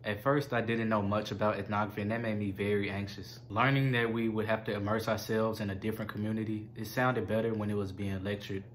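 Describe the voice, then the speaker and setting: Student testimonial; man from Memphis, measured and lowish. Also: flat delivery, a little hiss or hum. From Memphis, Tennessee. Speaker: student documentary maker. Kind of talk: reflective testimonial.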